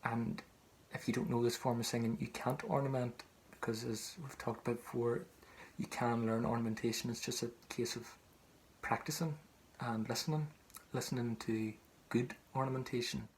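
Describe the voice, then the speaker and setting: A man's voice: mid-range voice, steady tone, normal speed. Northern Irish man teaching, noisy background. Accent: Northern Irish, Tyrone. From County Tyrone, Northern Ireland. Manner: conversational, explanatory. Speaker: singing teacher. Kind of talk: informal spoken lesson on traditional singing.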